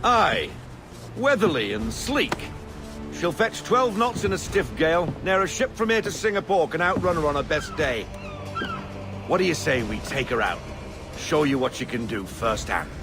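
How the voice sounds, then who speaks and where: An actor playing a jovial, salty middle-aged sea captain in a video game, with rollicking nautical talk. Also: male voice, on the high side, sing-song, normal speed, over music. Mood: jovial boasting. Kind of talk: video game cutscene acting.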